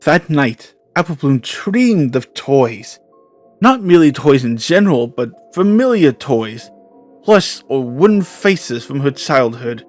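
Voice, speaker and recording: A man narrates a horror story with a measured, solemn delivery. His voice sits at a medium pitch, and faint music plays underneath the reading. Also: very animated, dry recording.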